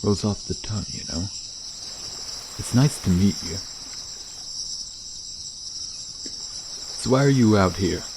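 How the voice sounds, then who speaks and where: Casting: male actor as shy, friendly mer-shark for ASMR roleplay, very deep, hesitant delivery, chirping insects behind. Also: expressive, moderate pace, noisy background. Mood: friendly, curious. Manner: hesitant, casual, trailing off. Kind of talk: ASMR roleplay, soft conversational acting to the listener. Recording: nature ambience with chirping insects. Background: crickets and outdoor night ambience.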